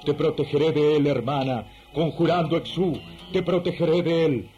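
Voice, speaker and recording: A man's voice: fairly high pitch, moderate pace, dark tone, lo-fi sound, soft background music, lightly acted. A man acting in a radio drama as a solemn spiritist priest with an incantatory, commanding manner.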